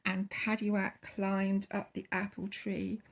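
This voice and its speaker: A woman's voice: medium pitch, little pitch movement, slow pace, vocal fry. A woman reading a children's bedtime story expressively, drawing out key words with theatrical emphasis.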